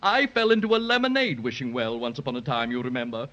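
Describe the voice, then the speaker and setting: A man's voice: medium pitch, quick pace, sharp tone, lightly acted. A man playing a polite, wordy, whimsical fantasy creature in a 1930s children's radio serial. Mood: musing. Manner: polite, wordy, mildly fussy. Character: genteel, polite, whimsical male storybook creature with running colors. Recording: muffled 1930s radio recording.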